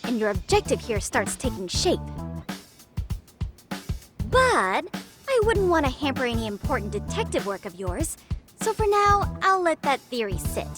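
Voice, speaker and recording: A woman voicing a confident, articulate, slyly scheming high school club president in a video game, formal and teasing. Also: very animated, a little slow, long pauses. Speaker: student club president character. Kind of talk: English game voice acting. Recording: loud music behind the voice. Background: background music.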